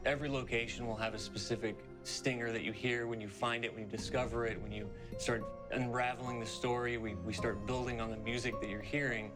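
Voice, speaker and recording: A man's voice: mid-range voice, steady tone, fairly quick, hardly any pauses. A man speaking as a game developer in a behind-the-scenes interview, explaining with emphasis. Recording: loud music behind.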